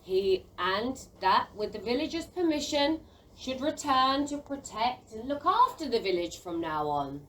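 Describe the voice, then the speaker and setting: Fairy tale narrator, female: scripted storytelling, higher voice, recorded slightly far with light background noise. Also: very animated, unhurried, fairly bright tone.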